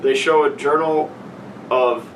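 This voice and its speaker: A man's voice: mid-range voice, fairly even intonation, slow pace, roomy sound. A man co-hosting a podcast in a blunt, exasperated, sweary and casual way, critiquing as he talks. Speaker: podcast co-host. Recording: noisy, distant room mic.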